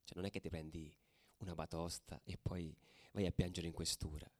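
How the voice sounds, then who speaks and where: A man's voice: low-pitched, level tone, moderate pace. A man acting as a combative football ultra in a radio play, telling his story in colloquial, dramatic style. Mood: tense recollection. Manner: colloquial, swinging from hushed to shouting. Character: angry, combative male football ultra recounting street battles. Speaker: actor narrating a monologue.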